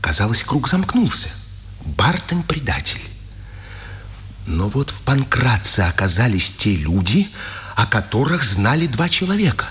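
An actor playing an impassioned, poetic imprisoned resistance fighter in a declamatory, lyrical 1950s radio drama style. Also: male voice, medium pitch, very animated, a little slow, fairly bright tone. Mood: troubled, brooding. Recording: old radio recording with background noise.

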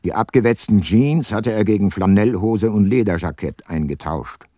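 An actor playing a brooding, probing private detective, narrating his case in a measured way in a radio crime drama. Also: on the low side, mellow, dry recording, clean studio sound, natural voice. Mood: brooding, reflective.

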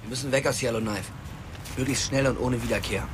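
A man's voice: mid-range voice, steady tone, fast, noisy background, close to an everyday voice. An actor playing a driven, conspiratorial young man in a radio drama, urgent and insistent with his friends. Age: young adult. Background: music.

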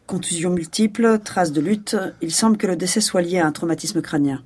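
A woman's voice: on the low side, a little fast, a little mellow, husky. An actress playing a stern, no-nonsense female police chief in an audio drama, curt and commanding, with a dry, cutting manner. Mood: grave, matter-of-fact. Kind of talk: naturalistic radio drama acting.

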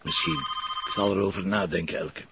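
An actor with a Flemish accent playing a stubborn, short-tempered police commissioner in a television crime drama. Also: male voice, deep voice, fairly even intonation, fairly quick, sharp tone, highs cut off, a little hiss or hum. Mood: resigned.

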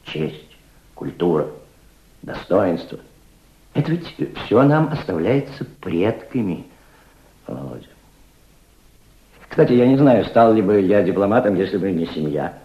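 A man's voice: low-pitched, big pitch swings, a little slow, long pauses. An actor playing a proud, cultured middle-aged aristocrat turned revolutionary diplomat, reflective, oratorical and fatherly, in a radio drama. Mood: earnest, admonishing, then reminiscing. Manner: reflective, oratorical, fatherly toward a young listener. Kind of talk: Soviet radio drama acting. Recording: dull, roomy old radio play recording.

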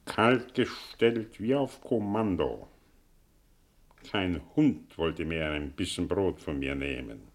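An actor playing a melancholy, sardonic exiled professor in a German radio drama, musing and declaiming with long pauses. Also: male voice, deep voice, lively intonation, heavily acted. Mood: weary resigned.

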